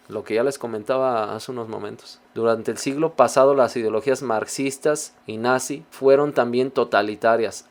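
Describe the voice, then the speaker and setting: A man with a voice of ordinary pitch hosts a reaction video. He reads passages aloud at a steady, measured pace, then pauses to reflect on them in his own words. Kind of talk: reading aloud and commenting.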